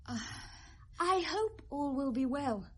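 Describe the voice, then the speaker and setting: A young noblewoman driven mad by grief in classic radio Shakespeare. Her voice is extremely high and she takes her time, drifting distractedly between verse and sung fragments. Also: lively intonation, takes it slowly, a bit bright, heavily acted. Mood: tearful, wandering. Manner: wandering between speech and snatches of song. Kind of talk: 1970s radio Shakespeare acting, half sung.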